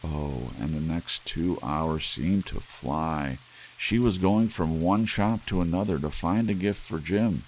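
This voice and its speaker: A man narrating an audiobook in a soft ASMR storytelling style, measured and unhurried like a bedtime reading. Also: sits low, rough recording. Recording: steady rain hiss behind the voice. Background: soft rain.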